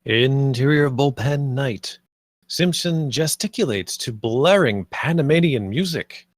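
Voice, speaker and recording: A man's voice: mid-range voice, animated, a little slow, dry recording, clean studio sound. A man narrating stage directions at a live script table read.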